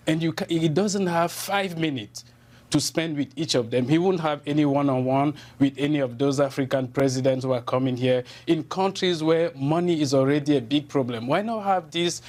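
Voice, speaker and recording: Indignant man with a Cameroonian French accent. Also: fairly high pitch. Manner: earnest, indignant, with fillers and repeated starts. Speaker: journalist guest. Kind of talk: TV interview.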